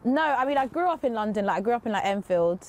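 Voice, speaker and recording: Bubbly fast-talking young woman. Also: a little high, expressive. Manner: bubbly, quick, laughing replies. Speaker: guest.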